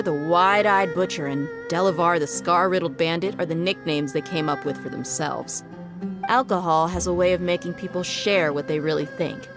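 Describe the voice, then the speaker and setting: A woman voices a shrewd female mercenary in a game cutscene, her voice sitting on the low side. She speaks with wry, matter-of-fact confidence and full self-assurance, over soft background music. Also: lightly acted. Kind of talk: video game cutscene voice acting.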